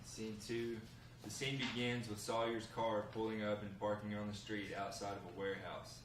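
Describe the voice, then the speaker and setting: A man with a lowish voice reads scripted stage directions as a narrator. He is some distance from the mic in a roomy space, with faint noise. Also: little pitch movement, moderate pace. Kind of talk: scripted narration at a table read.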